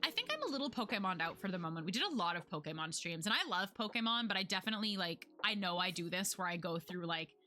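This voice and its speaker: A young woman streamer chatting casually to her viewers, full of "like" fillers and quick laughs, her voice getting worn out. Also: medium pitch, very animated, pushed and bright. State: losing her voice. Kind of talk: casual chat with live chat.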